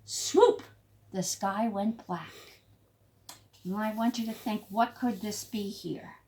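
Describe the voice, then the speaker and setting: A woman teacher reading a picture book aloud to young children, emphatic, pausing to explain and prompt. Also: medium pitch, fairly even intonation, dark tone, slight background noise. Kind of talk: expressive storybook read-aloud for young children.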